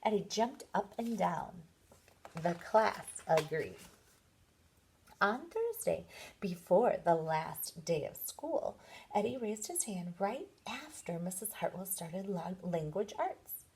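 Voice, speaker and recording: A woman teacher reading a picture book aloud to young children in an expressive, deliberate storytelling manner. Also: lowish voice, creaky.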